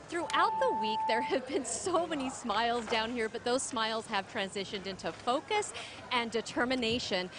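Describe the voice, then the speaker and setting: Polished female field reporter, crowd behind. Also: a little high. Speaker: deck reporter.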